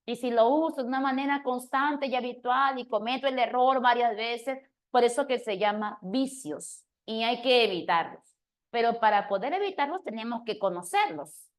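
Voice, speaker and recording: A woman's voice: on the high side, normal speed. A woman teacher giving an online exam-prep grammar class, explaining step by step and checking in with her students as she goes.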